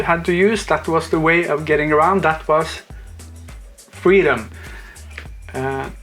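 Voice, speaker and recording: A man's voice: on the high side, a bit bright. A man, talking eagerly in a rambling casual vlog, often correcting himself. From Norway. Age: 40s. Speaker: car vlogger.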